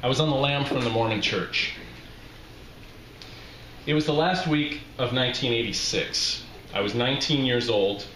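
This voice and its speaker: A man's voice: mid-range voice, sharp tone. A middle-aged American man from Utah at a microphone, reading a personal essay to a live room in a wry, self-deprecating way. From Utah, USA. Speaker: reading series host, memoirist. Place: small indoor bar room with brick walls. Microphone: handheld-style microphone on stand, close. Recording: roomy live venue, background noise.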